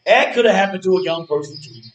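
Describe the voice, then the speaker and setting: A man's voice: high-pitched, animated, fast, dull audio. An actor playing a feisty, boastful old man in a stage play, talking in a folksy, defiant way. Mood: indignant. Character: feisty, boastful old man who refuses to feel helpless. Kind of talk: live stage play dialogue. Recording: roomy stage recording from far off.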